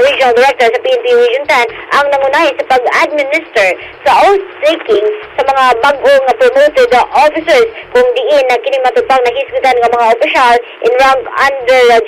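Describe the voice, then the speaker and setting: A woman with a Filipino accent giving a radio field report, halting with frequent hesitations and repeats. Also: high voice. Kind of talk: news report, partly improvised. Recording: noisy remote radio report feed.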